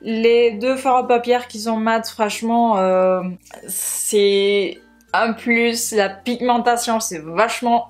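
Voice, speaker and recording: A woman's voice: on the high side, fairly even intonation, takes it slowly, faint music behind. A woman with a Spanish accent, a native Spanish speaker, chats casually to viewers as a beauty vlogger, sometimes searching for words in her second language. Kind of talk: casual product haul chat to viewers.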